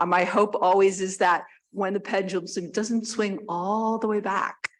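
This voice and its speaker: A woman speaking as a health official in a spontaneous, explanatory panel discussion. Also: fairly low pitch. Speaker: public health center director, panelist.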